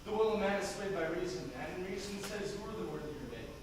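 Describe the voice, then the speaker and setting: An actor plays a lovestruck young suitor who declaims his verse in a fairly high voice. He is heard from far back in a long-echoing hall, with clear background noise. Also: animated, fast. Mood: ardent. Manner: ardent, declamatory verse speaking. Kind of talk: stage acting of verse. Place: theatre stage.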